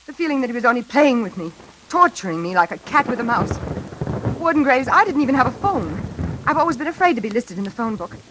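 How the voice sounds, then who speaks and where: A woman playing a nervous, desperate heroine in a 1940s radio suspense drama, halting and breathless. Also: a little high, a bit bright, a little hiss or hum, poor audio. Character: frightened, haunted single woman, nervous and desperate. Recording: old radio recording.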